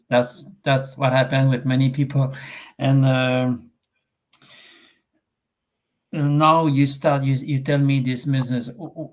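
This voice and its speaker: A man from Quebec with a French-Canadian accent, French as his first language, interviewing a guest in a live online chat, pausing often with fillers and restarts. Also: mid-range voice. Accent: Québécois French. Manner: hesitant, many fillers and restarts.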